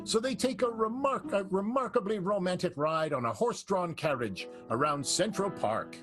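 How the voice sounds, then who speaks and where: A man puts on a melodramatic radio soap narrator, high-pitched for a man and very animated. Music plays clearly behind his excitable hype. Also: normal speed. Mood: excited, dramatic. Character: excitable melodramatic male serial announcer. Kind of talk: 1920s radio serial narration, improvised.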